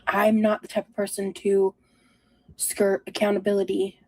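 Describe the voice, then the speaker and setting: A woman vlogger talking confessionally about herself in a halting, introspective way. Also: mid-range voice, completely flat delivery, mellow, poor audio. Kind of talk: confessional personal talk.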